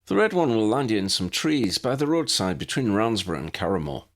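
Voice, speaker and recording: A man's voice: fairly low pitch, sing-song, brisk. A man voicing a friendly, chatty young Irish wizard in an audiobook, with an Irish accent and a lilting, conversational manner. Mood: helpful.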